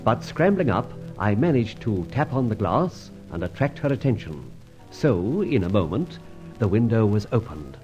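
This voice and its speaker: A man acting a dashing, wry gentleman adventurer in an old radio drama, brisk and commanding. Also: fairly low pitch, very animated. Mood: wry storytelling. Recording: dull vintage radio recording, faint music.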